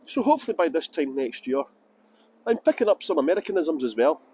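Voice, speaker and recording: A man's voice: on the high side, sing-song, fast, mellow, a little hiss or hum. A man from Edinburgh with a Scottish accent, guiding a tour group outdoors with jokey, playful commentary. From Scotland. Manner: jokey, playful mock pronunciations. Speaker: tour guide. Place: outdoors by a canal boat lift.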